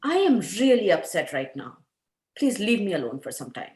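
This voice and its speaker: A woman's voice: mid-range voice, big pitch swings, normal speed, a little mellow, lightly acted. A woman in an amateur table read playing a firm, earnest doctor wife who scolds her husband about his health. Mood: upset, hurt. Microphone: video call. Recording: roomy, echoing video-call audio.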